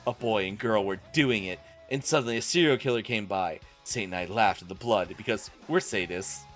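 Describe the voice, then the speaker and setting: A man's voice: on the low side, a little fast, fairly bright tone. A man doing a comic dramatic reading as a streamer, with exasperated, incredulous asides. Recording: music playing behind the voice. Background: music.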